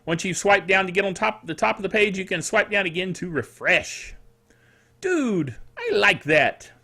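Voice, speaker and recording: An older man hosting a casual solo tech show, rambling playfully like a self-styled curmudgeon, stretching words for emphasis and tossing in spoken sound effects. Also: sits high. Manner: animated, emphatic delivery with drawn-out words. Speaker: podcast host. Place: small home studio.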